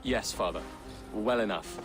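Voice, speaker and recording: An actor playing an earnest, polite young prince in a video game cutscene. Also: male voice, lowish voice, measured pace, in a large hall, music in the background, natural voice. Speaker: game character.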